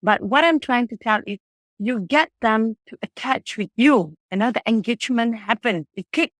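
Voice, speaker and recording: A woman whose mother tongue is Tamil speaks in a fairly high voice, animated and earnest, often circling back to restart a thought. The sound is close and dry, with almost no room around it. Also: normal speed, dry recording. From Malaysia. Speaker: childcare center director. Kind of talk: conversational interview.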